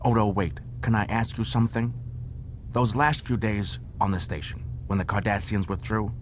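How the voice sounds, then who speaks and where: A man's voice: lowish voice, fairly even intonation, moderate pace, slight background noise, pitched above the performer's own voice, lightly acted. A man voicing an anxious, pleading alien barkeep in an audiobook, insistent and agitated. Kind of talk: audiobook character acting.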